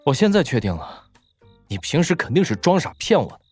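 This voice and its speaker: A man's voice: fairly high pitch, sing-song, a little fast, a little mellow, breathy edge, faint music behind. A man playing a shrewd, teasing young male college student in an audio drama, playful and mock-suspicious. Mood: playful, bossy.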